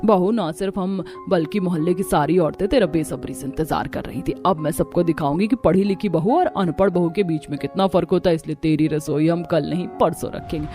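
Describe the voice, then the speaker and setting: A woman's voice: fairly low pitch, brisk, hardly any pauses, dark tone, pitched below the performer's own voice, natural voice. A woman voicing a boastful, jealous middle-aged village mother-in-law in a cartoon family drama, with a haughty, taunting manner. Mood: commanding. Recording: music behind the voice. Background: music.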